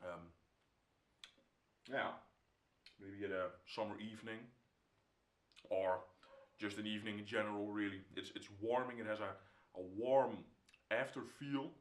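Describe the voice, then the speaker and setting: A man's voice: fairly low pitch, fairly even intonation, a little slow, pauses often, reverberant. A young man with a Dutch accent, a native Dutch speaker, casually reviewing a whiskey to camera at home, repeating words as he talks and dropping to a whisper while tasting. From Netherlands. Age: young adult. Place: indoor home room, seated in armchair.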